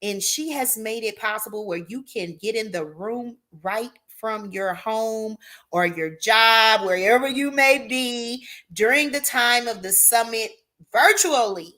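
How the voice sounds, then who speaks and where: Slow-talking hype host addressing "y'all". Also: female voice, on the high side, sharp tone. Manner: hypes the audience with "y'all" and "queen". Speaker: talk show host. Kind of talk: upbeat live show hosting.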